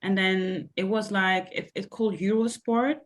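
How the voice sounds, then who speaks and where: A woman's voice: medium pitch, fairly even intonation, moderate pace. A young woman from Belgium answering interview questions about her sports coaching, talking hesitantly with many fillers. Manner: hesitant, many ums and likes. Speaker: sports coach and mentor, guest.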